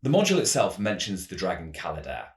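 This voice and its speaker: A man hosting a tabletop roleplaying channel, giving scripted explanations in a measured, storyteller-like way. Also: low-pitched, huge pitch swings, echoey room.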